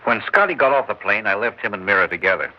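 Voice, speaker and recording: A man playing a brisk, commanding chief. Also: lowish voice, animated, lightly acted. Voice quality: clipped, commanding orders. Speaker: chief of an agency. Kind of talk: 1960s radio drama acting. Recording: muffled old radio broadcast.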